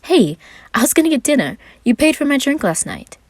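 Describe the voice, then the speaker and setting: A woman acts out an exuberant young man in an audio story. He talks in a flowery, theatrical way, pitched well up and projected, full of boastful delight. The recording is dry and close. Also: big pitch swings, brisk, no echo. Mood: pleased, playful. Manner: dramatic, flowery, effusive. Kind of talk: fan fiction audio reading.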